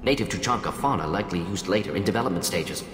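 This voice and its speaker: Game role: clinical, opinionated alien scientist. Low register, terse analytical fragments, heavy hall reverb. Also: male voice, expressive, fast. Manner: clipped telegraphic sentences, dropping small words, analytical. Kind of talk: video game character acting. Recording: echoey hall reverb, music behind. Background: game music.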